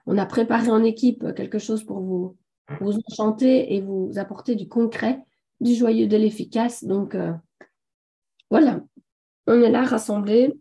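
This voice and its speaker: A woman with a hoarse voice from a sore throat, enthusiastically and a little haltingly presenting a live online webinar as host. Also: on the high side, fairly even intonation, mellow. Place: online video conference.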